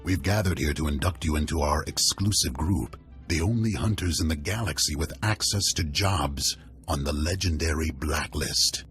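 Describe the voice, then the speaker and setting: An actor playing a proud, hearty older warrior chieftain in a video game, speaking in a stately, commanding way. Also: male voice, rumbling low, moderate pace, fairly bright tone, faint music behind. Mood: solemn, proud. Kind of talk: video game cutscene acting.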